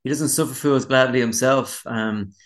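A man's voice: mid-range voice, moderate pace. An Irish man, a musician being interviewed, chatting casually in a candid, rambling, self-deprecating way. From Dublin, Ireland. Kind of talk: casual chat.

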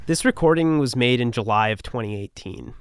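A man in his twenties, a podcast host, narrating a scripted story in a measured way. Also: mid-range voice, animated, clear, resonant tone, dry recording, clean studio sound. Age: 20s.

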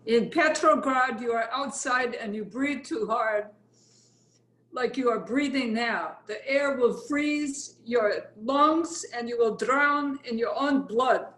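A fatalistic Russian woman in a stage play, played by a woman. She speaks slowly and flatly with a Russian accent, blunt and dour. The recording is roomy and echoing. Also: a little high. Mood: dour, deadpan. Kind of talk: readers theatre stage-play acting.

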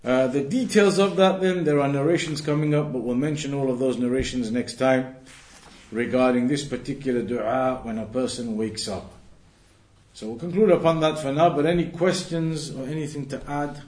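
A man's voice: mid-range voice, fairly even intonation, normal speed, a little mellow. A man giving a religious lesson, explaining didactically and repeating key phrases for emphasis. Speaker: religious teacher. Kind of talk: religious lesson, reading Arabic text and explaining it in English. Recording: roomy, echoing sound.

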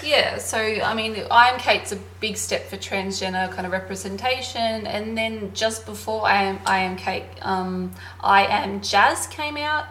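An Australian woman with a slight lisp chats casually as a podcast co-host, giggly and thinking out loud. Her voice sits at an ordinary middle pitch. The recording is roomy and echoey, with faint ambient hiss behind. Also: a little slow, few pauses. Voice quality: slightly lispy. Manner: chatty, giggly, thinking aloud.

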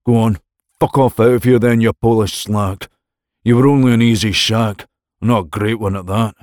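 A man's voice: lowish voice, fairly even intonation, moderate pace, a little mellow, dry recording, studio quality, natural voice. A man voicing a scornful middle-aged man who speaks in a sneering, condescending way. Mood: crude.

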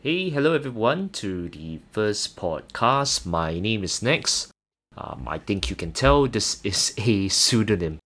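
A young man with a Singaporean accent hosting a solo personal finance podcast, earnest and measured, with occasional hesitant fillers. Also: lowish voice, big pitch swings, a bit bright, soft background music. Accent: Singaporean English. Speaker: podcast host.

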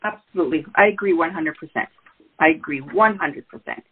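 A woman's voice: deep voice, fairly quick, slightly rough. A woman hosting an interview who agrees readily and keeps the chat casual. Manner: warmly agreeable, affirming. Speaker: podcast host. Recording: tinny phone-like sound.

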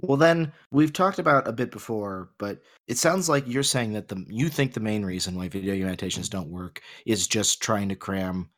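A man's voice: fairly low pitch, moderate pace, few pauses. A man co-hosting a casual podcast discussion, arguing and explaining his points, his voice a bit off from being sick. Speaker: podcast co-host.

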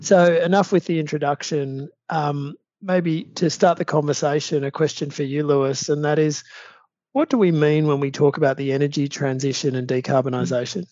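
A man's voice: a little high, steady tone, a little mellow, dry recording, dull audio. Male podcast host, dry sound. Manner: measured, reads prepared introduction. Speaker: podcast host, law firm partner. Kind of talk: scripted podcast hosting.

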